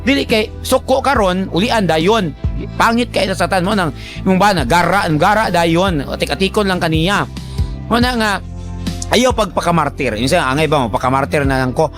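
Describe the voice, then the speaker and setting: A high-pitched male radio host with a Cebuano Bisaya accent gives casual advice over background music. He is colloquial and teasing, addressing the listener directly in an animated manner. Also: moderate pace. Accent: Filipino, Cebuano Bisaya. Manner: animated, colloquial, teasing, acts out imagined remarks. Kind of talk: casual conversational advice to a letter sender.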